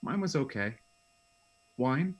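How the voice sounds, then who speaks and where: An actor playing a soft-spoken, hesitant but playful husband in a radio drama. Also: male voice, mid-range voice, expressive, takes it slowly, slightly rough, dull audio. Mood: tender, awkward. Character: hesitant, teasing husband trying to win back his wife.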